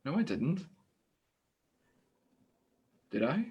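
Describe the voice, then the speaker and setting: A man's voice: on the high side, big pitch swings, very slow, breathy edge, lo-fi sound, exaggerated voice. Man acting as Josh, an awkward, well-meaning guy. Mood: reassuring, flustered. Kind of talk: staged play reading.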